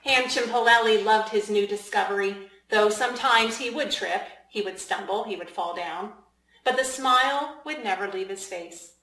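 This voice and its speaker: A woman reading a children's storybook aloud as its author, in an expressive, deliberate way with emphasis for young listeners. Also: mid-range voice. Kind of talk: storybook read-aloud narration. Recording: echoey room.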